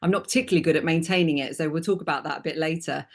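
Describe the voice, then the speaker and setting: Friendly lower-voiced woman host. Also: racing. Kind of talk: casual interview.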